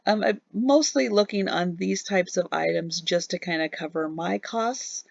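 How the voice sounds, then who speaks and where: A woman reselling online, narrating a relaxed screen-recorded recap of what sold, halting with many ums and a tiring voice. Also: fairly low pitch, vocal fry, no echo, muffled recording. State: losing her voice. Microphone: voice-over on a screen recording.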